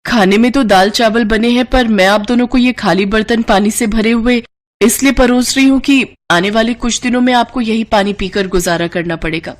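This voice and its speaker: Casting: woman playing young village daughter-in-law, sensible, courteous yet firm, for an animated folk tale. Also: on the high side, moderate pace, lightly acted. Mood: firm, polite. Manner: polite but firm.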